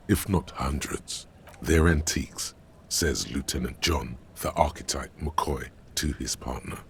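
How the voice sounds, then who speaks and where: A male actor playing the brooding, all-knowing narrator and inner voice of a detective in a video game, gravelly and deliberate, with literary delivery. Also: a bass voice, exaggerated voice.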